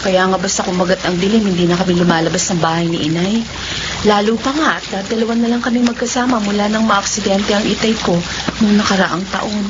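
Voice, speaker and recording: An actress with a Filipino accent playing an earnest, sceptical young village daughter in a radio horror drama. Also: female voice, medium pitch, steady tone, brisk, natural voice. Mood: sombre, earnest. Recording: muffled, noisy radio drama audio.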